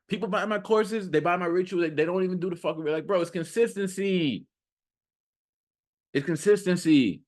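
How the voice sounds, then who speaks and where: A man's voice: high voice, moderate pace. A man giving an unscripted motivational monologue as a self-improvement coach, talking in an emphatic, repetitive, slangy and casual way.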